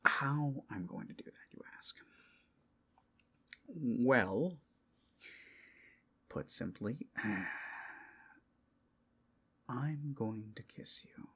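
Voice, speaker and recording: A man playing an obsessive, lovesick captor in an intimate roleplay ASMR, halting and often whispering, both tender and unsettlingly intense. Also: mid-range voice, expressive, heavily acted. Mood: creepy, doting. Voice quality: breathy, husky, often whispering. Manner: halting, swinging from hushed tenderness to giddy intensity. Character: obsessive, lovesick male captor, creepy and doting.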